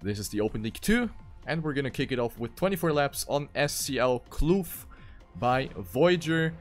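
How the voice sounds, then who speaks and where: A man's voice: mid-range voice, expressive, moderate pace, faint music behind. A man giving live commentary on a racing video game as an esports caster.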